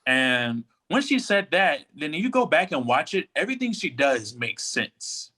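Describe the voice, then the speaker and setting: A man's voice: fairly high pitch, sing-song, moderate pace, bright, forceful tone. A man speaking as a podcast panelist in a casual, conversational discussion of pop culture.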